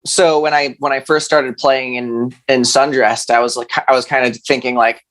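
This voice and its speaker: A man speaking as an interview guest, chatting casually in a halting, self-deprecating, conversational way. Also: medium pitch, little pitch movement, a bit bright. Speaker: musician, podcast guest. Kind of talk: casual chat.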